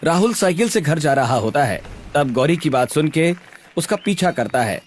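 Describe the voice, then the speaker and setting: A man's voice: a little high, expressive, moderate pace. A man narrating a scripted story in a dramatic storytelling style.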